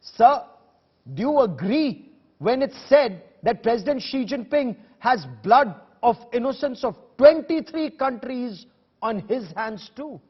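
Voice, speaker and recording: A man's voice: very high-pitched, animated, measured pace, breathy edge, in a large hall, dull audio. A man with an Indian accent hosting a broadcast debate, putting emphatic, combative questions and repeating phrases for effect. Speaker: news debate host.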